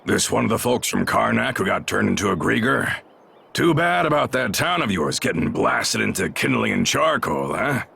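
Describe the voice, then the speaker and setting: Gravelly, husky warrior chief. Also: male voice, on the low side, big pitch swings, normal speed, pushed and bright, heavily acted. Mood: blunt, teasing. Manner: gruff, folksy, commanding. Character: brash, blunt male Norman warrior chief, unfazed and teasing. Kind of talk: video game cutscene acting.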